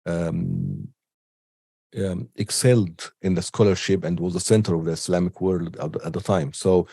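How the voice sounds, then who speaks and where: A man giving an unscripted online lecture with a cold, halting often with ums and restarts. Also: deep voice, steady tone, gravelly, dark tone, husky, no echo, clean studio sound. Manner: hesitant, many ums and restarts. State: has a cold. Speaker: teacher.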